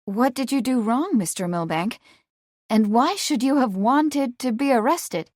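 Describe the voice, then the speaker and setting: A woman's voice: a little high, lively intonation, unhurried, a little mellow, dry recording, studio quality, voice pushed up. Woman playing a reserved, inquiring lady. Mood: puzzled. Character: reserved, thoughtful, questioning well-bred lady.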